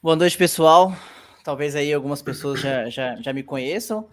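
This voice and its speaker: A Brazilian man working as a data analyst, introducing himself in a lively, chatty way on an online class. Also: on the high side, normal speed. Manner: lively, chatty, laughs easily.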